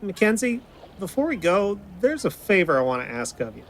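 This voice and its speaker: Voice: mid-range voice, very animated, a little fast, slight background noise. An actor playing a friendly stage magician with an easy showman's patter in an audio drama. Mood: friendly, encouraging. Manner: showman's patter addressed to an audience.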